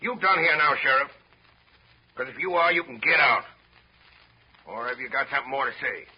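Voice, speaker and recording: An actor playing a blustering but kind-hearted old riverboat captain in a 1930s radio drama, with a raspy, folksy, sputtering American delivery. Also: male voice, mid-range voice, moderate pace, lightly acted. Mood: blustering, defiant. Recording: muffled 1930s radio broadcast recording.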